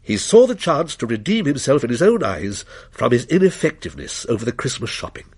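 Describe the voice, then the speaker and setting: A male narrator tells a literary tale in a measured way. His pitch moves widely and expressively, with some gravel and a slightly rough edge to the voice. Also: big pitch swings. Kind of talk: scripted dramatic story narration.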